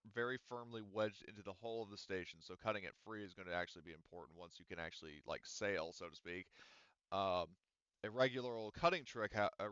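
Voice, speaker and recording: Game master, man, low smooth voice, rambling fillers, slightly dull voice-chat sound. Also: fairly bright tone, dry recording. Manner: rambling, lots of ums and likes. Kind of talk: casual tabletop game narration. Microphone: headset or desk mic on voice chat.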